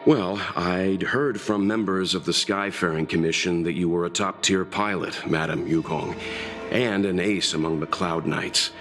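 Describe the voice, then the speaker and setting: An actor playing a calm, scholarly gentleman in a video game, a patient advisor who speaks politely and deliberately. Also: low voice. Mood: courteous, admiring. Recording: background music under the voice.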